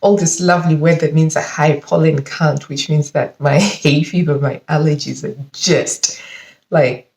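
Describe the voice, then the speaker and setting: A woman's voice: low voice, measured pace, creaky. Chatty stuffy-nosed woman. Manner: chatty, enthusiastic, animated with frequent laughs. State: slightly congested from hay fever. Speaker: sewing vlogger. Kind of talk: casual fabric haul chat. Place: living room at home, indoors. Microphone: camera mic at close range. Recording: echoey room.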